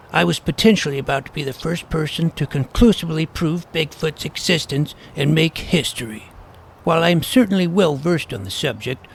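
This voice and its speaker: A man's voice: a little high, gravelly, a little hiss or hum. A man playing an earnest, wordy schoolboy paranormal detective who narrates his own adventure in an audio drama. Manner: precocious, wordy, earnest, mock-serious. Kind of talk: first-person scripted audio drama narration.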